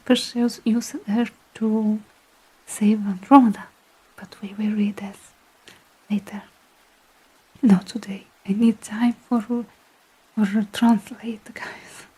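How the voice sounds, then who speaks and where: A soft, whispery Italian woman talks close to the microphone in a slow, unhurried murmur. Her voice is mellow and very breathy, with a flat, gentle melody, and she pauses often on small fillers as she finds her English words. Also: fairly high pitch, low-quality recording. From Italy. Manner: whispery, hesitant, many fillers. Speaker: vtuber ASMR streamer. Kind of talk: soft-spoken ASMR storytelling and chat.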